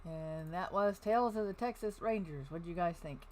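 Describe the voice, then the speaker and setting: Panel show host, relaxed informal chat, mid-range voice, loud music underneath. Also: mid-range voice, a little fast, poor audio. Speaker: podcast host.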